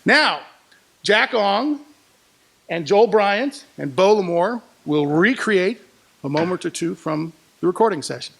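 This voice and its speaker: A man's voice: high voice, animated, measured pace, vocal fry, fairly bright tone, husky. A man giving an informal explanatory presentation as a producer, often searching for his words.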